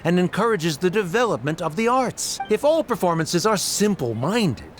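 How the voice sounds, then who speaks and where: A rigid, haughty academic official from a video game. His voice sits slightly high and moves a lot in pitch as he lectures with formal condescension. Faint noise sits behind it. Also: very animated, moderate pace. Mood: lecturing.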